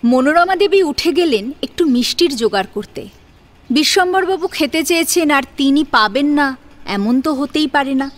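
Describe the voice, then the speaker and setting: High-pitched female story narrator. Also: expressive, moderate pace. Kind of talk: scripted dramatic story narration.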